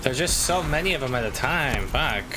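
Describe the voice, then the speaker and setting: A man's voice: medium pitch, expressive, normal speed, heavy background noise. A man doing casual gameplay commentary as a streamer, muttering offhand and swearing to himself. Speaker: game streamer. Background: video game music.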